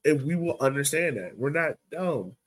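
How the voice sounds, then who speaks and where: A man's voice: fairly high pitch, animated, moderate pace. Emphatic man in casual sports talk. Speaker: podcast host. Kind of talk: casual sports podcast discussion.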